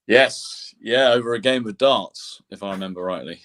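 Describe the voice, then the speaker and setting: A man's voice: lowish voice, moderate pace, a bit bright. A man speaking as a film composer guest in a casual conversational style. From Britain or Canada. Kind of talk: casual chat.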